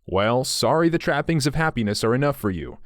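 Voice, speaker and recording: A man's voice: mid-range voice, very animated, brisk, dry recording, studio quality, lightly acted. A man voicing an agitated, drunk young man who blurts and rambles scornfully.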